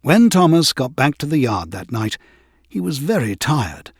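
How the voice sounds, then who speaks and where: A man narrating a children's storybook in an expressive, measured way. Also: medium pitch, breathy edge, no echo, studio quality. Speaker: audiobook narrator.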